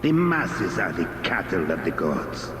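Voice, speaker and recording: An actor playing a cruel, contemptuous villainous priest in a video game, pompous and menacing. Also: male voice, sits low, big pitch swings, measured pace. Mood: cold contempt. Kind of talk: dramatic video game acting. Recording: cavernous long echo, clear noise.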